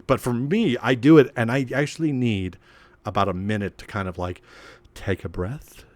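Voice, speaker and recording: A man co-hosting a casual podcast, animated and self-deprecating, who restarts his sentences a lot. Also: medium pitch, normal speed, no room sound. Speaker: podcast co-host and game master.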